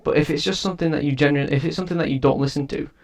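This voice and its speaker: A man's voice: fairly high pitch, racing, vocal fry, a little mellow. A man giving a casual spoken review of an album, rambling and often restarting his sentences. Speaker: music reviewer and producer.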